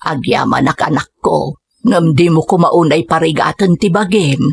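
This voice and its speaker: A woman's voice: low voice, normal speed, gravelly, slightly rough, full character voice. A woman playing a loving but worried middle-aged mother in an audio drama, tender and anxious. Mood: grateful, tender.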